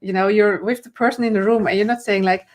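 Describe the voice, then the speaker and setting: A woman with a Dutch accent speaks as a confidence coach in a live online conversation. Her English is fluent but not native, and she explains things in a friendly, loose way, restarting sentences and adding fillers as she goes. Her voice sits at an ordinary middle pitch. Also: fairly even intonation, quick pace. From Netherlands. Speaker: confidence trainer and host.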